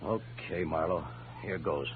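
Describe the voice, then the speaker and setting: A man's voice: low-pitched, unhurried. An actor playing a frightened, cornered man pleading under questioning in 1940s radio style. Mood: cornered, reluctant. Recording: tinny, very noisy, crackly old radio.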